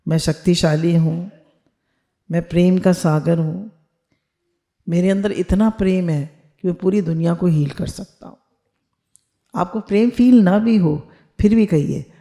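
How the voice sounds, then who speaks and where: Slow, gravelly-voiced woman teacher. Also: fairly low pitch, mellow. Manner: slow, dictating affirmations for listeners to repeat; mixes Hindi with English phrases. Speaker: spiritual teacher. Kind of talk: spiritual discourse leading affirmations. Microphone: video call.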